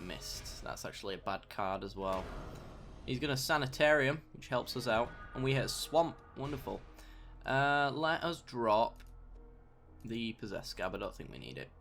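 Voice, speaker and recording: Young gamer, a bit croaky with a sore throat, relaxed let's-play commentator who talks through each move. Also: male voice, mid-range voice. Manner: thinks aloud, mild self-talk, bursts of mock outrage. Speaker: gameplay commentator.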